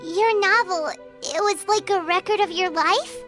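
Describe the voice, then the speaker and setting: A woman voicing a small, naive, childlike companion creature with a squeaky cartoonish voice in a video game. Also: a little slow, a bit bright. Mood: curious. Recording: music behind the voice.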